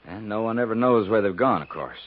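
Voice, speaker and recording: A man's voice: lowish voice, expressive, a little fast. A man playing a brooding, plainspoken frontier Indian agent in a 1950s radio western, with a folksy, ominous delivery. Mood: uneasy, emphatic. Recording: dull, noisy 1950s radio recording.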